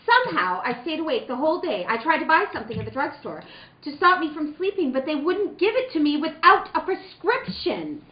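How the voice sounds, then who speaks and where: A woman's voice: high-pitched, very animated, a little fast. An actress playing a frightened, sleepless young wife who narrates her nightmares melodramatically in an amateur old-time radio drama. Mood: subdued narration. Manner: melodramatic, swinging from hushed to crying out. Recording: dull, echoey room with faint noise.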